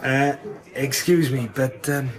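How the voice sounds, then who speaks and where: A man playing a wary, mockingly chummy underworld crook in a radio drama, insinuating, with drawn-out hesitations. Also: mid-range voice, steady tone, close to an everyday voice. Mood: sly, teasing. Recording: chattering crowd behind the voice.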